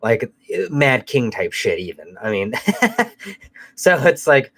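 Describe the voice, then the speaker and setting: A man's voice: lowish voice, big pitch swings, slow, a bit bright. A man hosting a casual live chat while fighting a cold, sounding nasal, rambling loosely and swearing now and then. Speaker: host.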